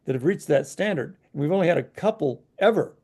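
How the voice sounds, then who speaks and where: A man's voice: a little high, expressive, a little fast, darkish tone, husky. A man co-hosting a podcast, giving frank opinions in casual chat, hesitant and full of fillers. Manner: frank, hesitant, many "you know" fillers. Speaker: podcast co-host.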